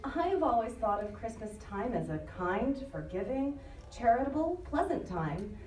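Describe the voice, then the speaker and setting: A woman playing a sweet, earnest, cheerful young singer in a live audio drama, with a coaxing, expressive delivery. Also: on the high side, normal speed, recorded from a distance. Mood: earnest, tender. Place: convention live show stage. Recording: echoey live stage, off the mic.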